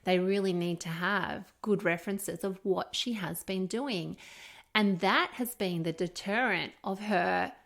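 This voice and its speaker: A woman's voice: medium pitch, measured pace, gravelly. A middle-aged woman with an Australian accent chatting casually as a podcast co-host, candid and emphatic, with an encouraging way of talking. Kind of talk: casual conversational podcast chat.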